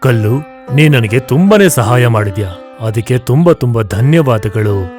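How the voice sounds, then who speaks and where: A man playing a kindly, grateful farmer in a children's cartoon, gentle and earnest. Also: mid-range voice, expressive, unhurried, faint music behind, close to an everyday voice. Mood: tender, grateful.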